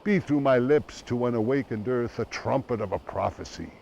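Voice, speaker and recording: A man giving a dramatic poetry recitation in a solemn, declamatory manner with deliberate phrasing. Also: medium pitch, vocal fry, a little mellow, dull audio, a little hiss or hum. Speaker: poetry reader.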